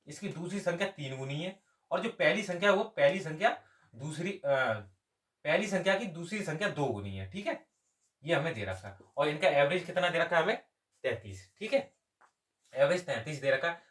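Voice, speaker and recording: A man's voice: fairly high pitch, a bit bright. A man teaching step by step as an exam maths coach, asking questions and answering them himself. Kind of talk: step-by-step lesson.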